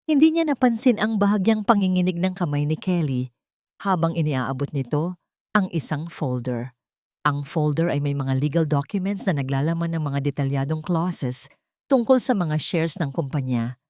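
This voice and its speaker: A woman's voice: low-pitched, lively intonation, moderate pace, darkish tone, no room sound, clean studio sound. A woman narrating a scripted dramatic romance story.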